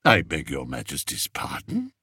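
A man voicing a scheming courtier lord who talks in a low, sly mutter. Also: wildly swooping pitch, moderate pace, bright, forceful tone, husky, no echo, studio quality, exaggerated voice. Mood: oily. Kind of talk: audiobook character voice.

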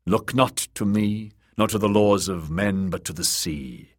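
A man's voice: lowish voice, unhurried. A man voicing a bony, fervent priest-prophet, solemn and commanding, preaching in a fantasy audiobook. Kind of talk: dramatised audiobook character voice.

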